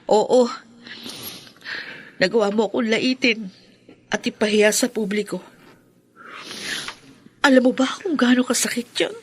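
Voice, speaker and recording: An actress playing an earnest, hurt but forgiving half-sister in a Filipino radio drama, with an emotional Filipino-accented delivery. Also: female voice, sits high, full character voice.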